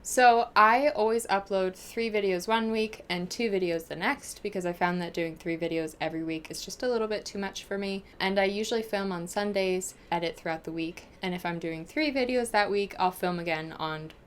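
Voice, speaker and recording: A young American woman talking casually and informally to viewers as a book vlogger, gushing and animated as she answers questions. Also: mid-range voice, normal speed, hardly any pauses, a little hiss or hum. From United States.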